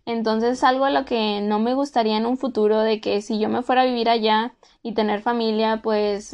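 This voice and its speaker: A woman's voice: mid-range voice, darkish tone, clean tone. A young woman in her twenties with a Monterrey Mexican accent, casually recounting her time as an exchange student, talking conversationally with lots of fillers. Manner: conversational, frequent "pues" and "o sea" fillers. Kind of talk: casual first-person account.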